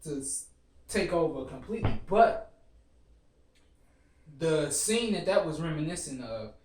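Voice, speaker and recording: Higher-voiced man in a roomy space. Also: lively intonation, a little slow, darkish tone. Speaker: co-host of a TV series discussion show. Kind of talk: casual group discussion, profane slang. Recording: roomy echo, distant from the mic.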